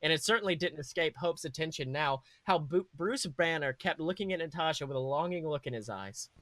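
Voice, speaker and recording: A man narrating a story aloud as a podcast host, animated and sometimes stumbling over the text. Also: fairly high pitch, a little fast, no echo. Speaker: podcast host reading fan fiction.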